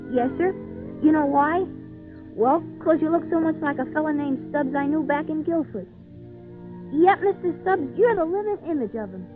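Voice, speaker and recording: A woman's voice: high voice, expressive, moderate pace, over music. A woman playing an earnest, polite, folksy little boy in an old-time radio drama. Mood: friendly, wondering. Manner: folksy, wide-eyed, hesitant. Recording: tinny band-limited 1940s radio recording.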